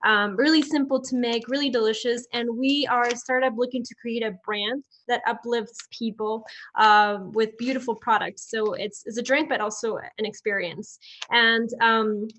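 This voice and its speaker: A young woman from Ecuador speaks from home on a video call as a startup founder. Her voice is on the high side. She explains her product informally, in halting phrases that often restart. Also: fairly even intonation, fairly bright tone, slight background noise. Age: young adult. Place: indoor room at home. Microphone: laptop video call.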